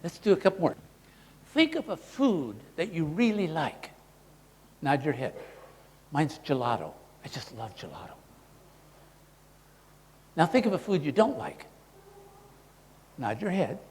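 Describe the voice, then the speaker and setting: Animated man teaching in a hall. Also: on the high side, measured pace, long pauses, slight background noise. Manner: animated, demonstrative, models voices for the audience. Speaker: workshop presenter. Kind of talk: live workshop teaching with demonstrations.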